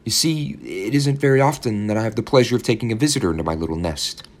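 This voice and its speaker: A man plays an overly sweet landlady who fusses and coos over her young guest. The voice sits well above the reader's own low register and comes a touch louder. It has a storybook-reading feel. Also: animated, fast, close to an everyday voice. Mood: cooing. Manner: fussy, cooing and gushing. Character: overly sweet, slightly odd older landlady.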